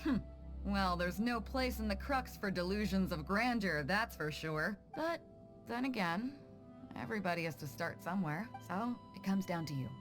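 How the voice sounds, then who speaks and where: A woman's voice: medium pitch, animated, moderate pace, soft background music, natural voice. An actress playing a bold, confident female pirate captain in a video game, breezy and self-assured, casual yet commanding. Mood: measured, firm.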